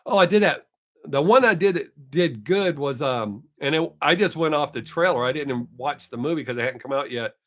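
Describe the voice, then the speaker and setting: A man's voice: fairly high pitch, lively intonation, fairly quick. Chatty older man with a drawl. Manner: rambling, self-correcting, chatty. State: voice not fully recovered. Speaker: live stream host. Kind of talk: casual chat with viewers.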